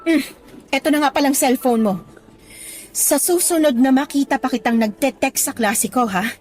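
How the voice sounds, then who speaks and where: A woman's voice: high-pitched, moderate pace, a little mellow, lightly acted. A woman with a Filipino accent playing a stern, hurt female schoolteacher in a radio drama, scolding emphatically and mixing in English. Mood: hurt, disappointed. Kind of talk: Tagalog radio drama acting.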